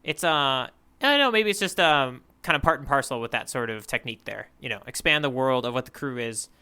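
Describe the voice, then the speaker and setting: Male podcast co-host, very bright and smooth, hesitant and chatty. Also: mid-range voice, animated, brisk. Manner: hesitant, full of restarts and fillers, laughs often. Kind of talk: casual chat.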